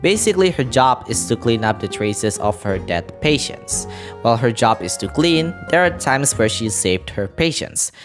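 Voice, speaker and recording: A man's voice: medium pitch, big pitch swings, moderate pace, over music. A man narrating a scripted game story recap as a video creator, dropping in casual asides.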